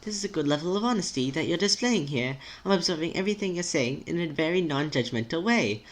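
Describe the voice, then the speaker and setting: Guy doing a timid awkward teenage boy, higher voice, rambly and overly polite. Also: expressive, fast talker, natural voice. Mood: gently supportive. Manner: hesitant, wordy, formal phrasing. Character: nervous, awkward, pedantic teenage boy, gently supportive.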